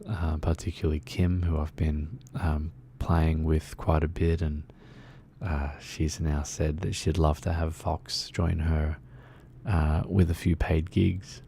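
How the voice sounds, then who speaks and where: Slow, deep, gravelly man. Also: a little hiss or hum. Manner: soft-spoken and soothing, rambling with many fillers. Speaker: game streamer. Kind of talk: relaxed casual chat while gaming.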